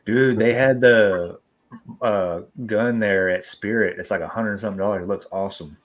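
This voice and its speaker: A man's voice: on the low side, moderate pace. A man from Texas with a Texan accent, chatting casually as a guest in slangy, laid-back talk. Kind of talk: casual chat.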